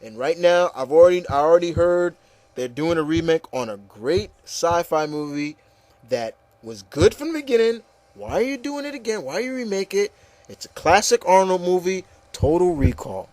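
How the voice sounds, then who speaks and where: A man's voice: sits high, very animated, normal speed, a little mellow, dry recording. A man hosting a casual opinion talk, ranting emphatically and often repeating himself with okay and you know. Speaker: host. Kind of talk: casual opinion rant with co-hosts.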